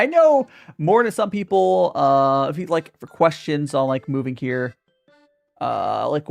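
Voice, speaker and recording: A young man chatting through a relaxed stream Q&A, his voice swinging up and down animatedly. He stumbles and restarts his sentences often as he reads chat questions aloud. Soft music plays quietly underneath. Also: fairly high pitch, vocal fry. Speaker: streamer answering viewer questions. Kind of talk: casual livestream Q&A.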